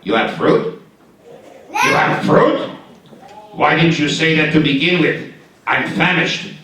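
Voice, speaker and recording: A man's voice: fairly high pitch, normal speed, sharp tone, a little hiss or hum. A man voicing a sleepy, hungry, grumbling male layabout in a live puppet show. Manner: grumbling, drowsy, blunt. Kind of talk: live shadow puppet theatre. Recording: echoey hall, distant mic.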